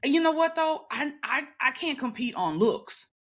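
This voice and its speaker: A woman talking casually as a guest, loud and emphatic with a sassy edge. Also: high voice, lively intonation, moderate pace. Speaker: podcast guest. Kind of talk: casual conversation.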